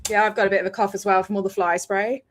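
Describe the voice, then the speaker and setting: A woman's voice: mid-range voice, fairly even intonation, racing. An Australian woman chatting casually on a video call as the host of a livestream. She rambles with lots of fillers and laughs often.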